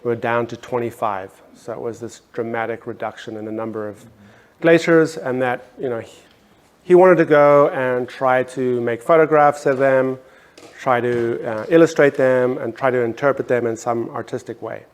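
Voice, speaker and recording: A man's voice: mid-range voice, fairly even intonation, normal speed. A middle-aged man from South Africa with a South African accent, speaking on an art panel in a hesitant way, with many ums and restarts. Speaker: panelist artist. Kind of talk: casual panel talk.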